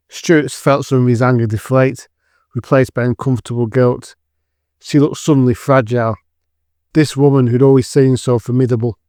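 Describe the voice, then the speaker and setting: A man's voice: mid-range voice, darkish tone, no room sound, studio quality. A man giving scripted dramatic narration for an audio drama.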